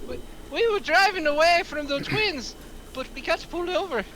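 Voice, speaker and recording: A man's voice: very high voice, lively intonation, moderate pace. A man playing a flustered, defensive, foul-mouthed gangster driver at a screenplay table read. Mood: nervous, stammering. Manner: exclamatory, bickering. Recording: clear background noise.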